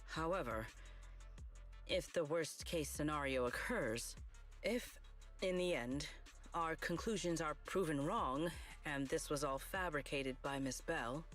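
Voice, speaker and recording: Someone playing super formal courteous swordmaster, anime game, mid-pitched voice, music under it. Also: fairly bright tone, close to an everyday voice. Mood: solemn. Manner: formal, deliberate wording. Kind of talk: scripted anime game acting.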